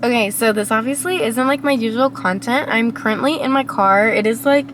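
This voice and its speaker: A young woman with a cold-hoarse, raspy voice, rambling reflectively to her camera while sitting in her car. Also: fairly high pitch, a little fast, background noise. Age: young adult. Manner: rambling, reflective, many fillers. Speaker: vlogger. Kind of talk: casual vlog monologue. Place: inside a parked car.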